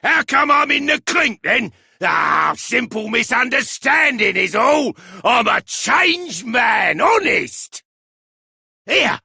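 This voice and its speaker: Give the game a cheeky Cockney rogue, very high and piercingly bright. He is boisterous and wheedling, with a rough, gravelly rasp and a habit of shouting. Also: dry recording, studio quality, heavily acted. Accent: English, Cockney. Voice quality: raspy, gravelly. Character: cheeky male crook claiming to have gone straight. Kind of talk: video game voice acting.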